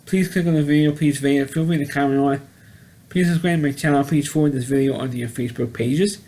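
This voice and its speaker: Higher-voiced man rambling through a review. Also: warm tone. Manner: halting, repeats words and phrases, rambling. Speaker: amateur film reviewer, video host. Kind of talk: casual unscripted monologue review.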